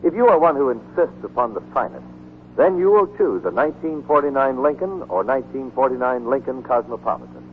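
Male 1940s commercial announcer, emphatic and grandiose, animated mid-range voice, old radio sound. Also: mid-range voice, brisk. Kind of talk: 1940s radio advertising read. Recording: tinny, noisy 1940s radio broadcast.

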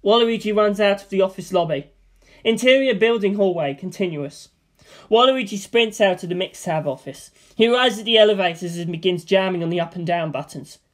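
A script narrator with a middling pitch reads out a screenplay. The delivery is scripted narration of scene headings and action. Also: fairly quick.

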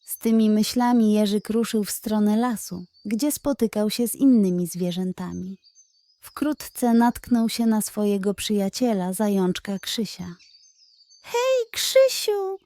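A woman reading a scripted children's bedtime fairy tale as an expressive storyteller. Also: mid-range voice, unhurried, no echo.

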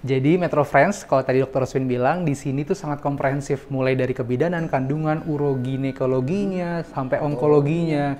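A man's voice: mid-range voice, brisk, few pauses. A young man hosting a casual guided tour of a hospital clinic, upbeat and chatty, ending his lines with tag questions. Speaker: host. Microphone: lapel mic. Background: soft background music.

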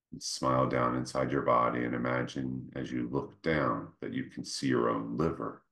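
A man leading a guided meditation, speaking gently and without hurry, in short phrases with pauses between them. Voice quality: very deep, creaky. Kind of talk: guided meditation, slow soothing instruction.

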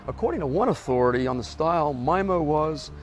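A man guiding a walking tour and explaining local history in a measured, informative way. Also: fairly high pitch, animated, soft background music. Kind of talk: scripted walking-tour narration. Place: outdoors on a beach boardwalk, later a hotel lobby.

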